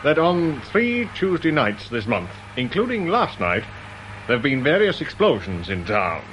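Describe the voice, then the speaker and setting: An actor playing a stiffly formal British police inspector in a radio drama, measured and officious, with understated sarcasm. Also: male voice, medium pitch, sing-song, a bit bright, slight background noise.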